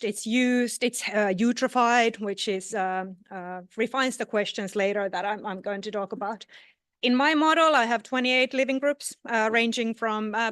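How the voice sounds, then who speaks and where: A woman's voice: on the high side, fairly bright tone, clean tone, no room sound, clean studio sound. A woman from Finland giving a scientific conference talk, hesitating often with fillers and restarts. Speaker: conference speaker. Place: conference hall. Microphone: no microphone.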